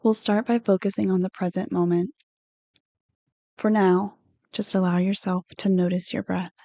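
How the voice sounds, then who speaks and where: A woman reading a guided meditation script in a soothing, unhurried, gently instructive manner. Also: mid-range voice, flat delivery, no echo. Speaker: counselor hosting guided meditations.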